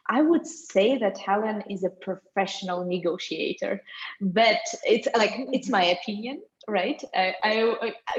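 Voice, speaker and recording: A woman hosting an online interview, speaking in a hesitant, halting way with repeated words. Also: medium pitch. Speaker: host. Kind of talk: spoken introduction of a guest. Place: online video meetup. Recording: dull, lo-fi online call audio.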